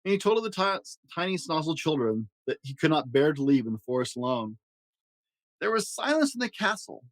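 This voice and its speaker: Casting: male storyteller for children's picture books, expressive read-aloud style, mid-range voice, very dry recording. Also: medium pitch, dry recording. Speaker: storyteller and host.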